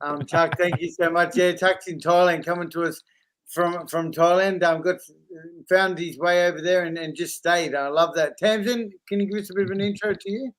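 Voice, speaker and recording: A man's voice: high voice, fairly even intonation, moderate pace, fairly bright tone, rough recording. A man hosting a live online launch, introducing guests in a casual, upbeat way with plenty of fillers over a video call. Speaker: host. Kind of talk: live stream chat.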